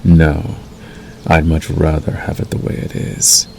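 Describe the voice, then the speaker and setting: Man acting a very deep, gravelly possessive werewolf lover. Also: completely flat delivery, slight background noise, heavily acted. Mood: teasing, possessive. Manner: slow, soothing, coaxing with dark undertone. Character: possessive male werewolf lover, menacing yet tender. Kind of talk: intimate ASMR roleplay.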